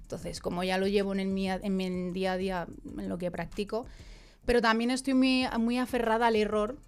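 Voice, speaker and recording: A woman's voice: mid-range voice, fairly quick, clear, resonant tone. Emphatic young woman from Madrid. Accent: Madrid Spanish. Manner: lively, emphatic, with frequent restarts. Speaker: guest. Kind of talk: casual discussion.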